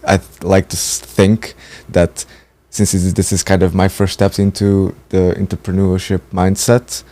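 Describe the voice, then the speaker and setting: A man's voice: low-pitched, completely flat delivery, moderate pace, warm tone, no room sound. A man in his twenties from Portugal, speaking fluently and thoughtfully in a casual conversation. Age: 20s. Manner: fluent and thoughtful, building longer sentences. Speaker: training participant.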